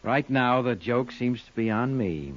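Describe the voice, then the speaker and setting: A man's voice: mid-range voice, animated, unhurried. A man playing a cool, hard gambling club boss with dry, controlled menace in a 1940s radio drama. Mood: cool, annoyed. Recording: dull, noisy old radio recording.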